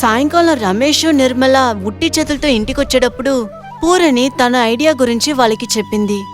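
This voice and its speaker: A woman's voice: sits high, a little fast, faint music behind. A woman reading a scripted story as its narrator.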